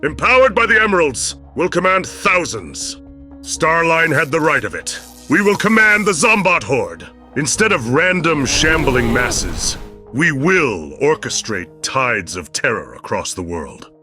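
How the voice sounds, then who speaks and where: Cartoon villain leader, forceful, animated pitch, grandiose, mocking, music behind. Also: male voice, measured pace, heavily acted. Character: smug, menacing villain leader commanding monsters. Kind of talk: comic fan dub voice acting.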